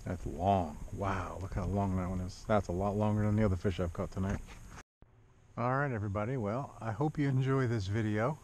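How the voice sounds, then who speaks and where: A middle-aged man filming himself fishing from a kayak on a lake, swinging between excited exclamations and muttered asides. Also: on the low side, brisk. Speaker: fishing vlogger. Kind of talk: casual fishing commentary. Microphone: action camera mounted on the kayak. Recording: dull outdoor action-camera audio with wind and water noise.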